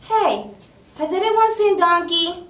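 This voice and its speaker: Echoey, high-pitched woman storyteller. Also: takes it slowly, a bit bright. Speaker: narrator of children's puppet show. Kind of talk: live storytelling narration to children. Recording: echoey, noisy room from far off.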